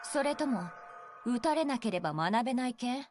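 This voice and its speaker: Casting: actress as icy, threatening visual novel villainess, slightly high voice, contemptuous and short on patience, over music. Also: female voice, lively intonation, quick pace, lightly acted. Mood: contemptuous threat. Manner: cold, threatening. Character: cold, menacing female captor, contemptuous and impatient. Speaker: game character voice actor. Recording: game audio with music behind.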